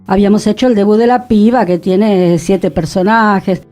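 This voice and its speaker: A woman with a Rioplatense accent co-hosting a radio show, telling a story in an animated way. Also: medium pitch, normal speed, soft background music. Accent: Rioplatense Spanish. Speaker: radio co-host. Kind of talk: casual radio chat. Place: radio studio.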